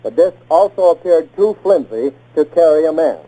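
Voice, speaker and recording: A man's voice: on the high side, animated, normal speed, warm tone. Very muffled 1940s American radio correspondent, male. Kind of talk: 1940s radio news report, scripted.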